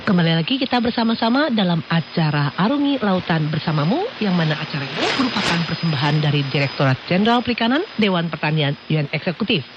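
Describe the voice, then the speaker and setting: A woman's voice: on the low side, lively intonation, quick pace, hardly any pauses, dull audio. A woman reads scripted radio news as a co-host, heard over a shortwave broadcast.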